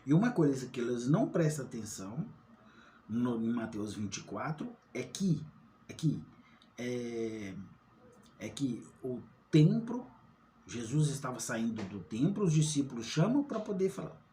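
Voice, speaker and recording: Casual online Bible teacher, male, mellow tone, keeps checking in with his audience. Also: medium pitch, sing-song, a little slow, a little hiss or hum. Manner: asks rhetorical questions, checks in with "tá?". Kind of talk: informal Bible study talk.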